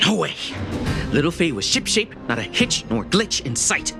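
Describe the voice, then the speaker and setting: An actor playing an earnest, worried robot tinkerer in a video game, hesitant and unsure of himself. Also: male voice, a little high, sing-song, moderate pace, gravelly. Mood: baffled. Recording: music behind the voice.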